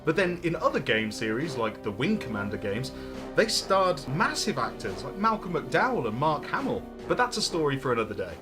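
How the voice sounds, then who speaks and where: A man narrates a video game retrospective in a slightly high, animated voice over background music. His scripted delivery is conversational, with wry little asides. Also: normal speed. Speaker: presenter of a retro game review series.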